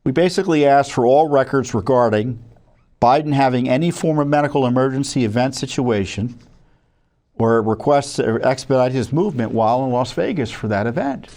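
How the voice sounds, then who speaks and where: A man's voice: mid-range voice, brisk, a little mellow. An American man presenting news commentary as a spokesman, part reading and part ad-libbing, often stumbling and correcting himself conversationally. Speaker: watchdog group spokesman presenting records. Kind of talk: news commentary to camera, part read out, part ad-libbed.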